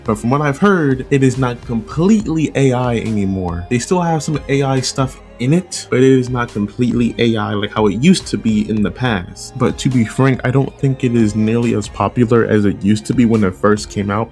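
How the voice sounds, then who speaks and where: A man's voice: on the low side, darkish tone. A man giving casual opinion commentary as a video essay host, conversational and often rephrasing his points. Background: background music.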